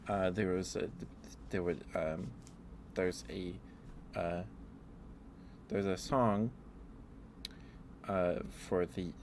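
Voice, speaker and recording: Casting: male ASMR streamer, low register, halting off-the-cuff rambler, noisy ambient backdrop. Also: a little mellow. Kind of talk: rambling casual ASMR chat. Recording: clearly audible background noise.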